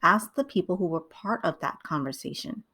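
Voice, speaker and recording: Calm, measured woman teaching writing. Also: lowish voice. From Vietnam, raised in the United States. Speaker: writing tutor. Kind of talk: scripted instructional narration.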